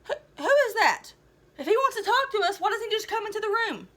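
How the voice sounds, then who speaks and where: A woman's voice: very high voice, sing-song, fast talker, bright, forceful tone, voice pushed up, exaggerated voice. A woman voicing a naive, earnest hippie schoolboy who sounds innocent and matter-of-fact, in a storybook read-aloud. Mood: puzzled. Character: naive, long-haired hippie boy, earnest and puzzled.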